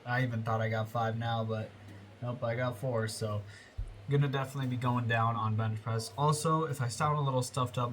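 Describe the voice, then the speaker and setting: A man's voice: medium pitch, a little hiss or hum. A young man talking casually as a fitness vlogger, rambling with lots of fillers and self-corrections. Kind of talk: casual rambling vlog talk.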